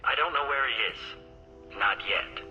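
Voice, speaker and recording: A man's voice: medium pitch, normal speed, close to an everyday voice. Guy as tough merc squad leader in a game, curt and defiant, muffled audio w/ music behind. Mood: tense, curt. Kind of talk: video game acting.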